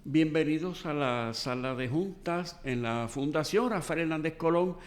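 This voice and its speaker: A man's voice: a little high, a little slow, soft background music. A man with a Puerto Rican accent hosting an oral history interview, speaking formally and courteously. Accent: Puerto Rican Spanish. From Puerto Rico. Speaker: interviewer, archive director. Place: boardroom.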